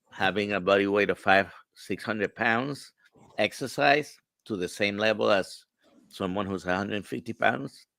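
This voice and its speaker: A man with a Spanish accent, a physician guest answering interview questions in a measured, explanatory way over a video call, pausing on "uh". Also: lowish voice, vocal fry, no echo. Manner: measured, explanatory, many "uh" pauses. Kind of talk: live-stream interview answers.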